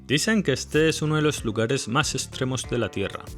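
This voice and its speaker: A man's voice: mid-range voice, normal speed, soft background music. A man reading a polished, lightly witty scripted voice-over for a travel video. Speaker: narrator.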